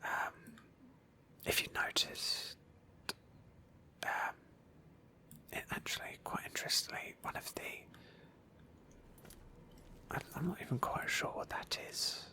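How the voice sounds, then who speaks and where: A woman whispering gently as she narrates an ASMR roleplay of a video game in a storytelling manner. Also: takes it slowly, pauses often. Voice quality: breathy whisper. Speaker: host of a roleplay game playthrough.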